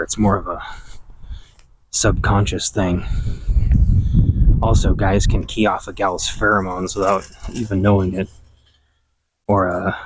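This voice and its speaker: Low-voiced man talking quietly outdoors. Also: low-quality recording. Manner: low, quiet, deliberately not projecting. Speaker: prepping vlogger. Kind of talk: rambling casual monologue. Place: outdoors in a yard, watering trees.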